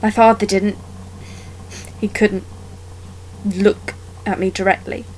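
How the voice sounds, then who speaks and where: A woman performing a dramatic monologue as a wounded young woman haunted by a childhood attack, telling it haltingly with deliberate pauses. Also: medium pitch, level tone, a little hiss or hum, close to an everyday voice, poor audio. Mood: pained, subdued.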